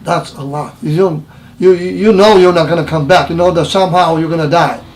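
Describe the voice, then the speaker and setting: A man in his seventies from Romania with a Romanian accent, recounting his wartime childhood in an interview in halting, repetitive English. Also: fairly high pitch, steady tone. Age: 70s. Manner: halting, repeats phrases, broken English grammar. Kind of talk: personal wartime recollection interview.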